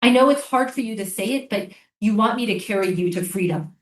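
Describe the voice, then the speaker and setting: A woman's voice: mid-range voice, brisk, close to an everyday voice. A woman playing an earnest, insistent American soldier and interrogator in a staged reading of a drama. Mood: desperate, demanding. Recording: roomy, echoey live stage reading.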